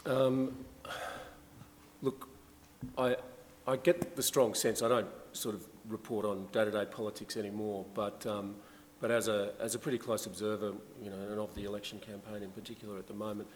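Low-voiced man on a hall panel. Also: moderate pace. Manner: relaxed, conversational, frequent fillers. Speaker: journalist and essayist on panel. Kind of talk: panel discussion. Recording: long hall reverb, faint background noise.

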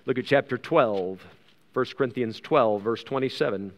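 American preacher in a reverberant hall. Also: male voice, medium pitch, moderate pace, dull audio. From United States. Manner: conversational, rhetorical questions and asides. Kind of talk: sermon with scripture reading. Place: large reverberant room, preaching to a congregation.

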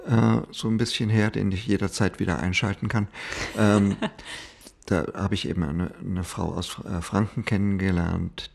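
A middle-aged man with a slight Franconian accent, telling his personal story as an interview guest, hesitant with many pauses and fillers. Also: low-pitched, level tone, gravelly. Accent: slight Franconian German.

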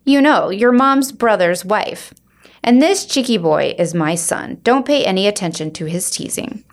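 A woman's voice: mid-range voice, animated, measured pace. A woman voicing a welcoming middle-aged aunt in a children's storybook, with a gushing manner. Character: friendly but awkward Indian aunt, middle-aged woman.

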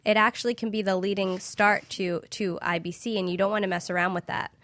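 Female practitioner as radio interview guest. Bright, dry-sounding voice, conversational and explanatory. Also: medium pitch, steady tone, brisk, no echo. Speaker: guest infrared scanning practitioner.

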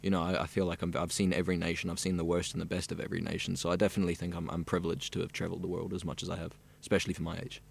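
A man's voice: sits low, completely flat delivery, racing, creaky, hardly any pauses, breathy edge. A young man from South Africa, a radio student chatting easily and at length in an interview. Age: young adult. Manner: chatty, rambling and easygoing. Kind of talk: casual interview chat.

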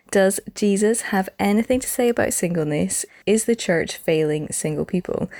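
A woman's voice: on the low side, darkish tone. A woman co-hosting a podcast about singleness, bubbly and laughing at her own slips. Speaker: podcast co-host. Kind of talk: casual podcast chat.

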